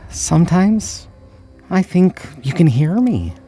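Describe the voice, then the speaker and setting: A man voicing a gentle mortician who murmurs tenderly to the dead, hushed and halting, as in a dramatic audiobook. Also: high-pitched, very animated, husky, voice pushed up, heavily acted. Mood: searching, hesitant.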